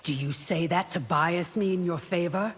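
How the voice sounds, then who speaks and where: An actress playing a devout, defiant warrior bodyguard in a video game, blunt and guarded. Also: female voice, lowish voice, moderate pace, breathy edge. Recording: long hall reverb, faint noise.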